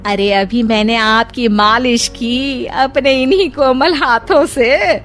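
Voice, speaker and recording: Touchy, coy mother-in-law, slow and high woman acting. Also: a bit bright, faint music behind. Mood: coy, delighted. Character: middle-aged mother-in-law, touchy but easily flattered wife. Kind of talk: cartoon story acting.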